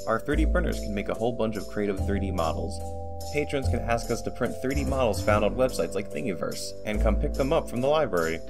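Low-voiced man narrating over guitar music. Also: animated, fast talker. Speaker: technology center presenter. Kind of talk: scripted promotional narration.

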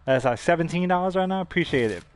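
A young man streaming a game from a room, giving excited, slangy commentary and thanking viewers for donations while his voice goes hoarse. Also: high-pitched, fast talker. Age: young adult. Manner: hype-driven, slangy, thanking donors between plays. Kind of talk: live gameplay commentary with chat shout-outs. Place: indoor gaming room.

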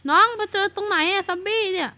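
A man voicing an excitable, bossy big-brother figure in a Minecraft cartoon, calling out warnings to his sibling. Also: very high-pitched, quick pace, heavily acted. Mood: excited. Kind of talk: cartoon narration. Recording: noisy recording.

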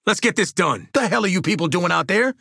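An actor voicing a cocky, seasoned male mercenary marksman in a video game, husky, with punchy, sardonic, informal delivery. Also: high-pitched, lively intonation, brisk, pushed and bright, no echo, clean studio sound, exaggerated voice. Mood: impatient, businesslike. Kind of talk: video game combat barks and quips.

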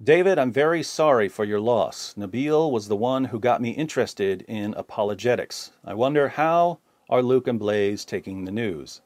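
A man reading viewer comments aloud in a plain, steady voice. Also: mid-range voice, moderate pace, few pauses, no echo, studio quality.

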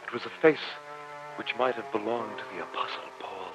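Man playing a low, dignified French professor. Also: loud music behind the voice, close to an everyday voice, poor audio. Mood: grave, awed. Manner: measured, formal diction. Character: earnest, dignified French professor of marine biology. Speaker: narrator and lead actor in a radio drama. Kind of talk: old-time radio drama acting. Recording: hissy old radio recording.

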